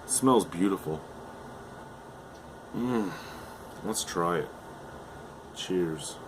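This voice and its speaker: Low-voiced man losing his voice. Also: lively intonation, extremely slow, background noise. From Canada. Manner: chatty, hesitant, with frequent fillers and restarts. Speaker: beer reviewer. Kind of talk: casual beer review vlog.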